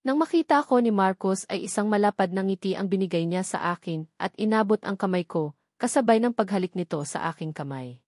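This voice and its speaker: A woman's voice: medium pitch, lively intonation, a little fast, darkish tone, no echo. Woman narrating, dry close sound. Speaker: narrator of a romance novel. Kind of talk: first-person scripted story narration.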